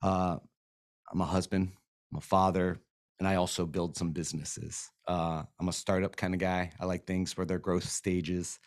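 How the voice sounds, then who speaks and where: A man's voice: sits low, flat delivery, normal speed, dry recording. A man from Florida, a podcast guest losing his voice, chatting in an easygoing, rambling way in a podcast studio. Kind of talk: casual interview chat.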